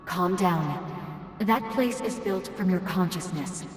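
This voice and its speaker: A woman's voice: on the low side, a little slow. A woman playing a composed, caring female mentor in an English game dub, her voice in echoing reverb. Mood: composed, cautioning. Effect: echoing reverb on remote voice. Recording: long echoing reverb, faint ambient noise.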